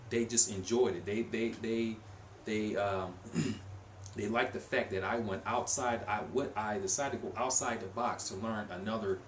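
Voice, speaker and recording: A young man casually rambling to camera in a vlog, with lots of fillers, restarts and asides. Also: lowish voice. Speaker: language-learning vlogger. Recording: echoey room with faint hiss.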